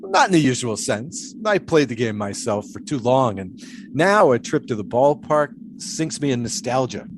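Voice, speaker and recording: An actor playing an eccentric, cryptic pole sitter who talks in dreamy, teasing riddles. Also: male voice, mid-range voice, big pitch swings, normal speed, noisy background. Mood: musing. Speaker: pole sitter Shipwreck Kelly. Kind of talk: audio drama acting.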